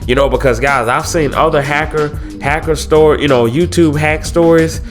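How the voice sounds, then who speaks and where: A man's voice: on the high side, fairly even intonation, normal speed, music in the background. A young man with a congested head cold, talking casually to his viewers with lots of slang, fillers and repeated words. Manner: loose slangy talk, many fillers and repeats. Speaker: YouTuber. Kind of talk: casual vlog talk to camera.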